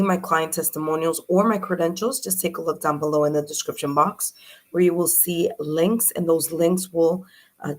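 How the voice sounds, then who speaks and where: A woman with a hoarse, raspy voice hosting a live tarot reading stream, chatty and welcoming with frequent fillers. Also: on the low side. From New York. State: hoarse, raspy from early morning and much talking. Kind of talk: live stream talk to viewers.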